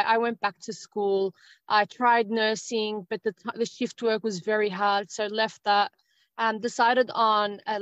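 A woman's voice: mid-range voice, no room sound. A woman in her thirties with an Australian accent, talking as a guest in a casual interview, rambling and hesitant with many fillers. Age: 30s. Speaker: guest author. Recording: dry, dull lo-fi sound.

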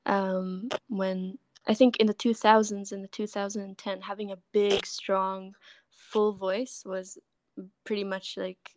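A woman in her twenties, a singer-songwriter guest, speaking gently and thoughtfully in a soft voice. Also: mid-range voice, little pitch movement, unhurried. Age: 20s. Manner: gentle, thoughtful, laughs often. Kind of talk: casual interview chat.

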